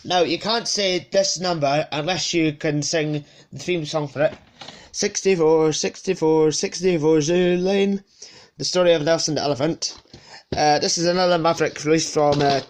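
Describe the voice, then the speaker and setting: A man with a sniffly cold casually presenting his collection unscripted, hesitating and correcting himself as he goes. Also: a little high. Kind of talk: casual unscripted show-and-tell.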